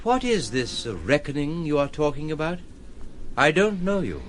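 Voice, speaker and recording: An actor playing a reserved, ironic gentleman with a formal, grave manner in a radio drama. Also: fairly high pitch, sing-song. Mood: cool, ironic. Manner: formal, dry, measured.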